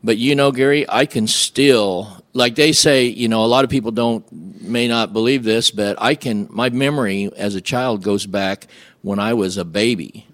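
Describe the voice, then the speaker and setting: An American man from Illinois speaking as a radio guest, telling personal stories in a casual, halting, folksy way. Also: fairly low pitch, no room sound. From Illinois, United States.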